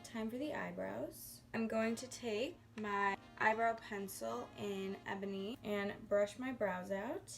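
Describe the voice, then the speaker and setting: A chatty woman walks through her makeup routine at home in a loose, rambling way and pokes fun at herself as she goes. Her voice sits at an ordinary middle pitch, with music playing behind her. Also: takes it slowly, creaky, hardly any pauses. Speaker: beauty vlogger. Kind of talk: casual makeup tutorial.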